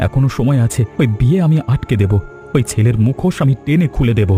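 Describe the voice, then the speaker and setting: A male actor as a heartbroken young man in a cartoon melodrama, speaking fast in a soft-edged, mellow tone. He pleads with raw emotion and stubborn resolve. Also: mid-range voice, lively intonation, soft background music, close to an everyday voice. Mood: determined. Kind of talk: Bengali cartoon story acting.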